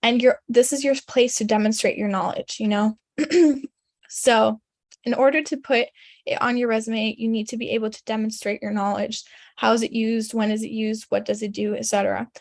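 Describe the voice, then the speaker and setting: A young woman whose first language is German, giving a casual, chatty resume webinar full of "like" and "um" and frequent throat clearing. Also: a little high, fairly even intonation, moderate pace, darkish tone, no room sound. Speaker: career coach presenting a resume webinar.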